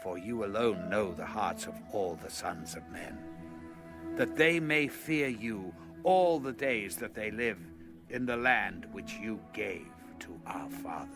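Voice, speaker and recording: A man narrating scripture from a script in a measured, solemn manner. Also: lowish voice, vocal fry. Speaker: audio Bible narrator. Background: music.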